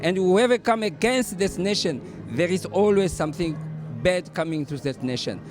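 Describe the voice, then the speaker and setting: A man from Congo with a Congolese accent, a reverend answering interview questions at a dinner event, earnest and halting with many fillers and restarts. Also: sits high, lively intonation. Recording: music playing behind.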